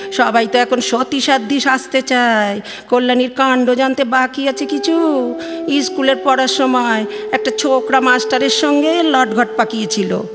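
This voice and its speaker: Woman playing a gossipy Bengali mother, reverberant. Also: sits high. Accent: Bengali, West Bengal. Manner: chatty, complaining, scandalised. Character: aggrieved, gossipy middle-aged village mother on the phone. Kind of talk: dramatic audio-play phone monologue. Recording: long studio reverb, music behind.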